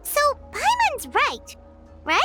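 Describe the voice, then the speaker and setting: A woman voicing a small, excitable, childlike fairy companion with a squeaky voice in an anime game, exclaiming as she goes. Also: takes it slowly, exaggerated voice. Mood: chatty, wondering. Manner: exclamatory, refers to herself by name. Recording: music behind the voice.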